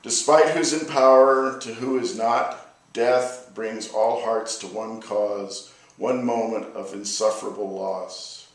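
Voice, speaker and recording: An American man speaking as a poet at a live reading, with measured, deliberate phrasing. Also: lowish voice, steady tone. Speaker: poet reading own work. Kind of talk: live poetry reading with informal spoken introduction. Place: reverberant room at a live reading. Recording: echoey live room, distant microphone.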